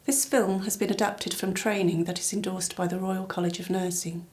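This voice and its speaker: A woman reading formal, scripted instructional narration for a training film at a measured, even tempo. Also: mid-range voice.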